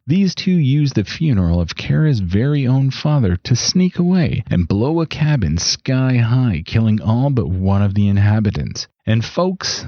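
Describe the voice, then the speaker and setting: An actor playing a gossipy, sneering late-night radio DJ who talks in crude, mocking, folksy banter. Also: male voice, on the low side, no echo, muffled recording.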